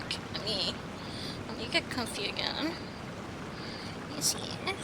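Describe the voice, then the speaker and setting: A woman's voice: a little high, animated, a little slow, very noisy. A woman game streamer reacting casually over gameplay, with slangy, muttered asides. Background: game music.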